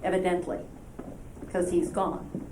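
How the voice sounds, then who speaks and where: A woman's voice: lowish voice, little pitch movement, measured pace. A woman from Palestine in the audience of a university lecture, pressing the speaker with a long, persistent question full of fillers and restarts. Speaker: audience member asking a question. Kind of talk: question from the floor. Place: lecture hall. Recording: distant, roomy, noisy old lecture-hall tape.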